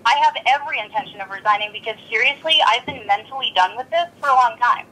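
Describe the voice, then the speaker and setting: A woman's voice: a little high, fast, bright, forceful tone, slightly rough. A blunt, exasperated woman arguing on a recorded telephone call. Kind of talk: tense phone argument.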